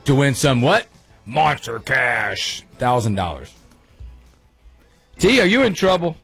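A man's voice: medium pitch, very animated, takes it slowly, creaky, a bit bright, husky, soft background music. A man co-hosting a morning sports radio show, talking casually and emphatically about the team. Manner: chatty, emphatic, thinks aloud.

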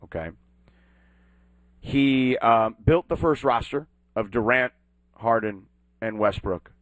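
A man hosting a sports talk show, talking with emphatic, animated delivery. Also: mid-range voice, slow pace, no echo. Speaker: sports talk radio host. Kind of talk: sports talk and live read ad.

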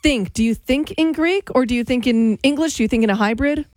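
A woman co-hosting a call-in radio show, taking calls and reacting with quick interjections. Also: fairly high pitch, no echo. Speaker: radio co-host. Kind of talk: casual call-in radio chat.

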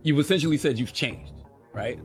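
A man's voice: a little high, a little fast, faint music behind. A man with an American accent, a radio co-host putting questions to a guest in conversation. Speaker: radio show co-host, interviewer.

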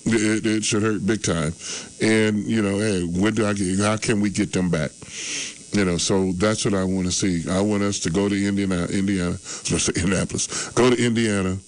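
A man's voice: on the low side, steady tone, brisk. A man in his early sixties grading his team's game in casual sports talk, rambling and repeating himself. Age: 60s. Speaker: sports show regular.

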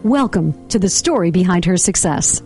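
A middle-aged woman, hoarse from laryngitis, hosting an interview podcast. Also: on the low side, expressive, moderate pace, warm tone, soft background music. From Boston area. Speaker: podcast host.

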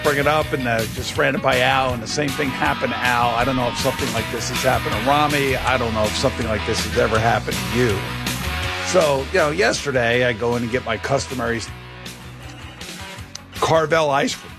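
A man hosting a casual radio talk show, telling personal stories in a rambling, halting way. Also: mid-range voice, vocal fry, loud music behind the voice. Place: radio studio.

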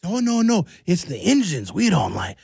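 A folksy barman , as a male radio host puts him on speaks in a heavy Wisconsin accent. He is bluntly prejudiced and protests in drawn-out, insistent phrases. His pitch swoops about, close and dry. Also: wildly swooping pitch, vocal fry, a little mellow, breathy edge, no echo, full character voice. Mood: insistent, confiding.

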